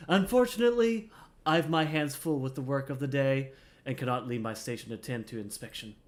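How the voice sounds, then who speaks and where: A man's voice: medium pitch, brisk, lightly acted. A man voicing a solemn, dutiful miller giving orders in formal, theatrical fantasy game dialogue. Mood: businesslike.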